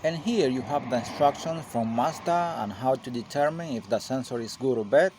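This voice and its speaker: A man's voice: mid-range voice, moderate pace, a little mellow, a little hiss or hum. A man giving a hands-on auto repair tutorial, explaining each step in a plain, practical way. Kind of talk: hands-on how-to narration.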